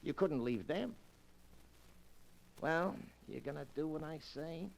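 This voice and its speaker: Gravelly hard-boiled radio burglar. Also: male voice, on the high side, slow pace. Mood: threatening. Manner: tough slangy talk, clipped commands. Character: hard-boiled, menacing small-time burglar, male, cynical and callous. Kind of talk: 1940s radio crime drama acting. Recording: noisy vintage 1940s radio recording.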